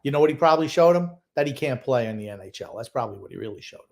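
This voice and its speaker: A man's voice: mid-range voice, animated, rapid-fire, creaky, darkish tone. Older man, sardonic sports podcast grumbler. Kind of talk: opinionated casual sports talk.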